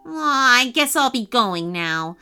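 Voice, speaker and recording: Woman playing lonely misfit boy elf, children's storybook, sheepish, hesitant, miserable, highish pitch, faint music. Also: lively intonation, takes it slowly, sharp tone, exaggerated voice. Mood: miserable, sorry. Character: mopey, shy, lonely male elf, sorry misfit.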